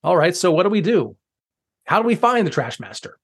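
A man voicing a modest, timid garbage collector who speaks in a halting, mild way. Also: sits high, big pitch swings, breathy edge, pitched above the performer's own voice, exaggerated voice. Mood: unsure.

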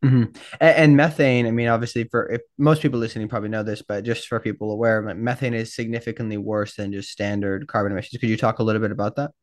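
A man's voice: lowish voice, little pitch movement, fast talker, few pauses, no room sound, studio quality. A man hosting a podcast interview, asking friendly, rambling questions with restarts. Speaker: podcast host.

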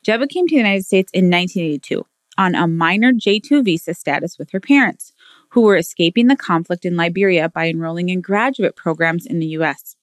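A woman's voice: fairly low pitch, brisk, no room sound. A woman hosting a podcast, reading an enthusiastic, admiring introduction of her guest. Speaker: podcast host.

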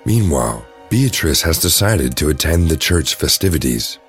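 A man's voice: rumbling low, sing-song, normal speed, fairly bright tone, breathy edge, soft background music, full character voice. A man narrating an audio drama in a scripted storytelling style.

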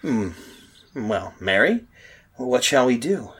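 An actor playing a frightened husband lost in time, in melodramatic old-time radio horror style with intense, urgent delivery. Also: male voice, medium pitch, big pitch swings, slow pace, a bit bright, husky, heavily acted. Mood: weary, subdued. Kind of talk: old-time radio horror acting, first-person narration and dialogue.

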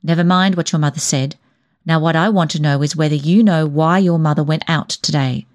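A woman's voice: low voice, steady tone, fairly quick, darkish tone, lightly acted. Woman reading as stern, blunt older female cousin. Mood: stern, severe. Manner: blunt, solemn, scolding. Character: stern, severe older female cousin, indignant, soft underneath.

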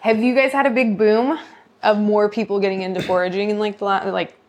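A woman's voice: mid-range voice, fairly even intonation, brisk. An American woman chatting casually as an interview guest, informal and laid-back with a wry touch. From United States, San Diego. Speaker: interview guest, mushroom grower. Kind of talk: casual chat.

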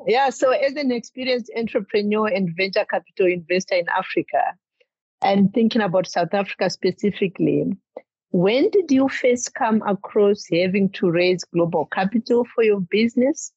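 Husky-voiced woman hosting a podcast. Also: medium pitch, moderate pace, a little mellow, no echo. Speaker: podcast host. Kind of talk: scripted podcast interview. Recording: dull, lo-fi dry sound.

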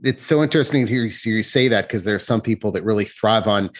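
A man's voice: on the low side, fast talker. A man hosting a casual interview, talking in a rambling, thinking-aloud way. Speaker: interviewer, host.

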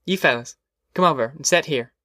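A man voicing a soothing, plainspoken middle-aged farm mother in an audiobook, soft-spoken, with a rural Southern American accent. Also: a little high, fairly quick, no room sound, clean studio sound. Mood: gentle, firm. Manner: soft-spoken, folksy, reassuring. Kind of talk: audiobook character dialogue.

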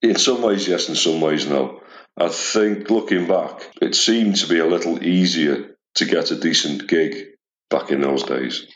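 A man in his forties with a deep, slightly gravelly voice, answering interview questions in a modest, reflective way. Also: measured pace, fairly bright tone, roomy sound, low-quality recording. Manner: modest, reflective, with many uh and restarts. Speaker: blues musician guest.

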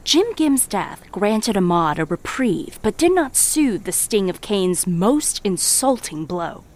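An actress playing a wry, scheming female narrator character in an audio drama, teasing and theatrical with hushed asides. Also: medium pitch, very animated, slow. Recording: studio audio drama with music underneath.

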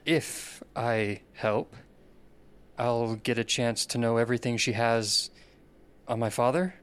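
An actor playing a subdued, wary man in an audio drama, speaking in a hesitant, halting way. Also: mid-range voice, clean tone, lightly acted. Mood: wary, resolute. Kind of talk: scripted audio drama acting.

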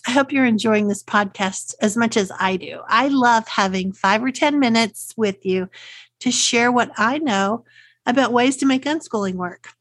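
A woman's voice: fairly high pitch, husky, no echo. A middle-aged woman with a Texas accent hosts a solo podcast, talking in a friendly, chatty way and often asking rhetorical questions. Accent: Texan. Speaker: podcast host. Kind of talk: scripted solo podcast talk.

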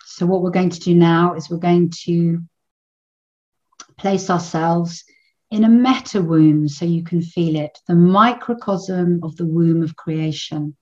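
Woman doing guided meditation, real slow n soothing, lowish voice, bit muffled recording. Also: mellow. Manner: soothing, unhurried, with long pauses.

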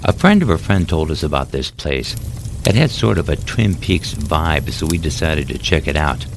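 A man's voice: rumbling low, very animated, normal speed, vocal fry, background noise, heavily acted. A man voicing a chatty young male camper who talks eagerly and stammers when frightened.

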